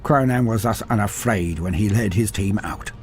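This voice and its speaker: A man reading a scripted story aloud with dramatic, expressive delivery. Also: fairly low pitch, normal speed, soft background music. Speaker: narrator.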